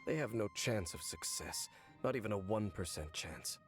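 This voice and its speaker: Low male voice, actor as brooding fantasy wanderer, measured, cool, grave, video game acting, faint music. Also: lively intonation, lightly acted. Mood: bitter, scornful. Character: brooding, knowing male wanderer from a fallen nation.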